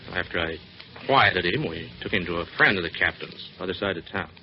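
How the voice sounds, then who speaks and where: An actor playing a rough, shady cab driver with evasive, colloquial speech in a vintage radio drama. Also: male voice, deep voice, brisk. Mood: cornered, evasive. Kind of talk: 1940s radio acting. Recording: dull, faintly noisy vintage radio recording.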